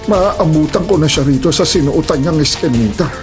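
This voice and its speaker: A man's voice: on the high side, moderate pace, lightly acted. A man playing a feisty, stubborn older father in a radio drama, defiant and emphatic, over music. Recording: music bed under dialogue.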